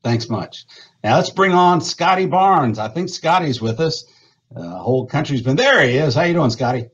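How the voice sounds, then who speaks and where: A man's voice: a little high, lively intonation, moderate pace, a bit bright, husky, muffled recording. A man hosting a live booster club interview, talkative and upbeat, introducing and questioning players.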